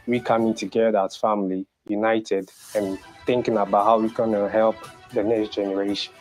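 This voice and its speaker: A young man in his late teens speaks earnestly in a testimonial interview. His voice sits low, and soft music plays faintly behind him. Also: level tone, normal speed, poor audio. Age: young adult.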